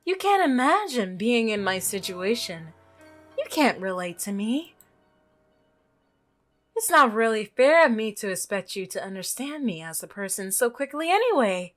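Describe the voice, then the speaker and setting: A woman voicing an obsessive, unsettling milkman in a visual novel, soft-spoken, coaxing and falsely reasonable. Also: a little high, very animated, moderate pace, faint music behind. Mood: creepy, coaxing.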